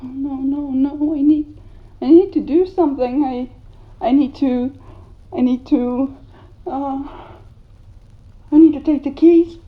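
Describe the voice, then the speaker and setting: An unstable, desperate mother in a cinematic audio drama. Her high voice pleads slowly and haltingly, close to tears, in a muffled recording. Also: steady tone, reverberant. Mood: horrified, tearful.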